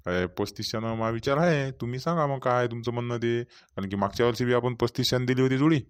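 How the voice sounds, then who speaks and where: A man's voice: mid-range voice, fast, clean tone, close to an everyday voice. A man voicing a talkative village farmer with a rural Vidarbha Marathi accent in cartoon comedy dialogue, rambling and repetitive. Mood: businesslike, chatty. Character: talkative male village farmer chairing a local meeting.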